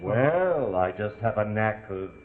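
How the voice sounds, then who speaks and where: An actor playing a smug, commanding gang leader in 1940s television suspense drama, given to orders and self-satisfied speeches. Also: male voice, lowish voice, expressive, slow pace. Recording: tinny, band-limited 1949 TV soundtrack, loud music.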